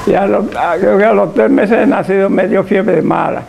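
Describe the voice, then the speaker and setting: An elderly man with a Dominican accent and a gravelly voice, slowly recalling his life in a living-room interview, often repeating and restarting his words. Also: high voice, a little mellow, slight background noise. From Dominican Republic. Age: older. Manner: halting, repeats and restarts words, short assents. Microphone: lapel mic.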